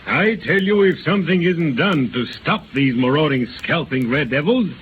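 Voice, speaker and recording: A man's voice: fairly high pitch, expressive, normal speed, lightly acted. Actor as a vehement frontiersman, tinny old radio. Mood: vehement, alarmed. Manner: vehement, alarmist, declamatory. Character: hot-headed frontier friend of a general, hateful hawk. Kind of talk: 1930s radio acting. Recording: noisy, tinny 1930s radio recording.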